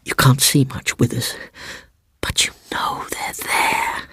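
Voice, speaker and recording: A man voicing a secretive, bitter, frightened schoolboy with a British accent and hushed, breathy delivery in a story reading. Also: slow, no echo, whispered. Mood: hushed, eerie.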